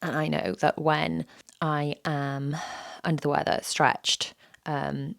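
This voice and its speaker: Croaky low-voiced mum. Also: female voice, steady tone, slow pace, no echo, studio quality. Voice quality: low and gravelly. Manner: candid, self-deprecating, repeats phrases for emphasis. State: croaky, sore-throated voice. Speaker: podcast host. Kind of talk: reflective solo podcast talk.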